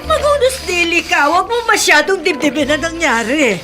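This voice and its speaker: High-voiced worried, pleading mother. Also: lively intonation, moderate pace. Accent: Filipino. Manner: pleading, admonishing. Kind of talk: Filipino radio drama acting. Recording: faint music behind.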